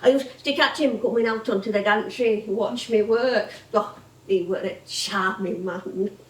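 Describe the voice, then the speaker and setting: A woman's voice: mid-range voice, unhurried, close to an everyday voice. An actress playing a chatty, nostalgic older comedienne with a Northern English accent, rambling and exclaiming as she tells stories on stage. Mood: fond, animated. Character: veteran comedienne, chatty, nostalgic older music-hall woman. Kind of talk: theatrical stage monologue and dialogue. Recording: echoey theatre stage from far off.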